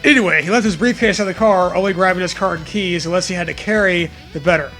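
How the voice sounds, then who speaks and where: Male comedy co-host, high and gravelly, mocking read-aloud style with profane banter, light music underneath. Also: fast, bright, forceful tone. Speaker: co-host reading fan fiction aloud.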